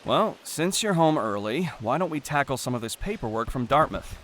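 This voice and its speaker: A man's voice: mid-range voice, sing-song, moderate pace, fairly bright tone, a little hiss or hum. A man playing a concerned, pushy middle-aged father in an audio drama, earnest and coaxing.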